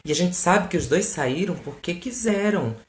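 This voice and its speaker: A man with a Brazilian Portuguese accent talks straight to a phone camera, lively and exasperated, with mocking asides. His voice bounces around an echoey room. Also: high voice, moderate pace. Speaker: fan page host answering questions. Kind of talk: casual chat to camera. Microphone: phone recording.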